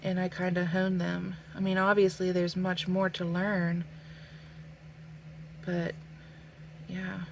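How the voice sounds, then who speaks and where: A woman's voice: fairly low pitch, monotone, gravelly, darkish tone, a little hiss or hum. A young adult woman vlogging as she draws, talking casually and thoughtfully with lots of fillers, a little sniffly. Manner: slow, thoughtful, with many fillers. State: sniffly with a stuffy nose. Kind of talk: casual talk while drawing.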